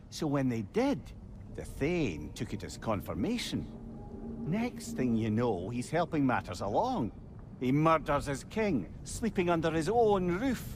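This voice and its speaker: A man's voice: mid-range voice, close to an everyday voice. A man playing a witty, avuncular older talking head in a video game, a learned storyteller with a Scottish accent and wry, eloquent delivery. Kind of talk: theatrical video game acting.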